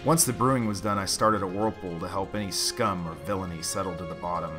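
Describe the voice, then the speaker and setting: A man's voice: deep voice, quick pace, over music. A man hosting a homebrew show, talking casually with a playful, dry sense of humor. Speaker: host, home brewer. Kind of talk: casual scripted narration and relaxed tasting chat.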